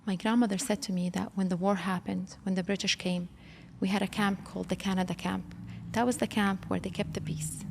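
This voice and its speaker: A woman's voice: mid-range voice, little pitch movement, a little fast, creaky, a little hiss or hum. A middle-aged woman who grew up in Kuwait, speaking with passion as an interview guest and building to emphatic outbursts. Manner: impassioned, rising to emphatic outbursts. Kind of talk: emotional interview. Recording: long reverb hall.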